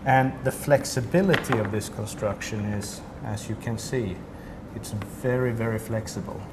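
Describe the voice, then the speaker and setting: A man's voice: on the low side, normal speed. A man from Sweden with a Swedish accent, a company representative explaining a product in detail during an interview. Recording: noisy room ambience.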